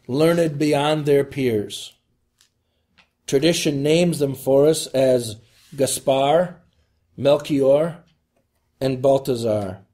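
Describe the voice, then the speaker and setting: A man delivering a scripted homily as a preacher, in solemn, deliberate phrases. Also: medium pitch, dark tone.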